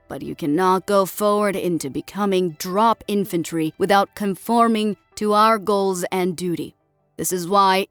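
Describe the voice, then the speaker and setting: A woman's voice: medium pitch, no echo, close to an everyday voice. A woman voicing a proud, assuring female senior drill sergeant in an audiobook, addressing recruits in a formal military way. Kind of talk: dramatic audiobook character acting.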